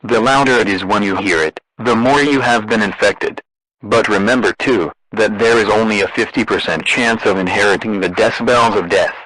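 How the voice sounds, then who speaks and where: A man's voice: fairly low pitch, normal speed, a bit bright, no room sound, rough recording. A man delivering a scripted emergency broadcast as an announcer, in a formal, impersonal way.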